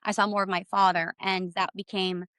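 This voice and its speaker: A woman's voice: medium pitch, little pitch movement, fairly quick, a bit bright, dry recording. A middle-aged woman from Canada, a guest in an interview, speaking reflectively with hesitant fillers. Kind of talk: personal interview conversation.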